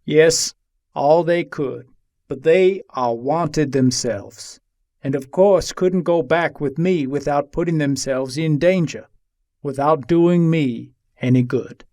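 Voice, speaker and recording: A man playing a jailed Old West gunfighter in a dramatic reading, giving his account in a measured, matter-of-fact way. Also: fairly high pitch, darkish tone, dry recording, clean studio sound. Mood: matter-of-fact, guarded. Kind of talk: dramatic reading of an 1882 newspaper interview.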